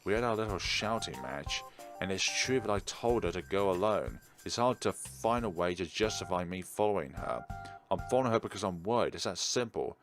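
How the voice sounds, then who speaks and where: Low-voiced man reading a game aloud. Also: expressive, a little fast, music in the background. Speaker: let's play host. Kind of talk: reading game narration aloud with ad-libbed commentary.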